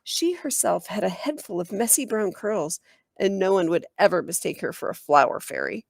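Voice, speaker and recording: A woman reading a children's novel aloud as an expressive storyteller, in the manner of a teacher. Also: mid-range voice, moderate pace, vocal fry, no echo, clean studio sound. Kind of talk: children's audiobook narration.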